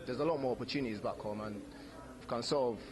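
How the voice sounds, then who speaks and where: Young man from Uganda, Luganda and Runyankole speaker, earnest and reflective, mid-pitched, recorded outdoors with a crowd chattering behind him. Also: a little fast. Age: young adult. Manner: earnest, thoughtful, repeats words when starting. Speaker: interviewee at community event. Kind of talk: casual street interview. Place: outdoor community event.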